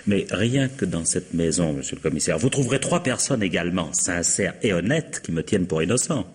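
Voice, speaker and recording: Deep-voiced suspected widower, guarded. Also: male voice, lively intonation, fairly quick, husky. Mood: defensive. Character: defensive widower under suspicion, dignified war veteran. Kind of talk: 1950s French radio mystery acting. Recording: reverberant, noisy old radio drama sound.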